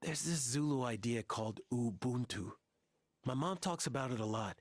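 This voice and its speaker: Male actor, young boyfriend game character, playful, earnest, animated pitch, casual delivery. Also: no room sound, lightly acted. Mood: earnest, tender. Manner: casual, halting when sentimental. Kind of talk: video game voice acting.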